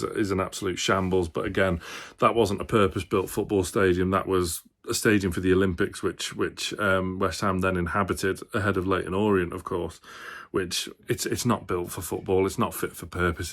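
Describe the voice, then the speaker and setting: A man with a fairly low voice gives casual, unscripted football commentary. He talks to viewers as a fan channel presenter, hesitating and hedging as he thinks aloud. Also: flat delivery, brisk, fairly bright tone.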